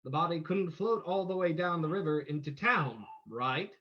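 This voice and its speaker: A man acts out a commanding gentleman sleuth in old radio drama style. He lays out his plans with confidence. The audio is somewhat dull and lo-fi. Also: a little high, moderate pace, close to an everyday voice. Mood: calm, plotting.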